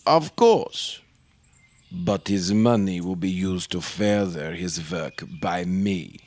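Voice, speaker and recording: Secretive older male professor, played by a man for radio drama: formal, haughty, intense, deep-pitched and deliberate. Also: darkish tone. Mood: disdainful. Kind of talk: old-time radio drama acting.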